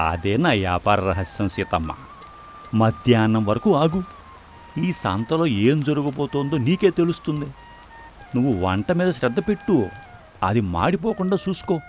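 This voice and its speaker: Animated, confident poor village stallkeeper voiced by a man. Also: medium pitch, a little slow, long pauses, soft background music. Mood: assured. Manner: reassuring, earnest, proverb-laden. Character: poor, confident, philosophical food stall husband. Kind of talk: dramatized moral story voice acting.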